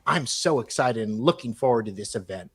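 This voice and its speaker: A man with an average-pitched voice reads correspondence aloud on a live talk show. His delivery is theatrical while reading, then drops into casual, mocking commentary. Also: expressive, fairly quick, slightly rough. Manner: mocking asides, breaks off to comment. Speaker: host reading emails aloud.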